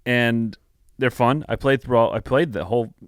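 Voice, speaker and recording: A man's voice: mid-range voice, normal speed, dry recording, studio quality. A man co-hosting a podcast in loose, casual conversation. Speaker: podcast host. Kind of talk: casual chat.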